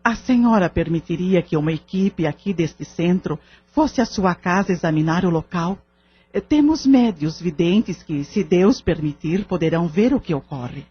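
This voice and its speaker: A woman playing a kindly, composed older spiritist lady in an audio drama, with a Brazilian accent and a measured, reassuring, formal manner. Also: on the low side, animated, breathy edge. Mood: composed, earnest. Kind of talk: audio drama acting in Portuguese. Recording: dull lo-fi audio drama recording.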